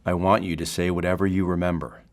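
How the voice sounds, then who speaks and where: Deep-voiced, measured therapist. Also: male voice, flat delivery. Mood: probing. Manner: clinical, measured. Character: measured, probing male psychotherapist. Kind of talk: audio drama acting.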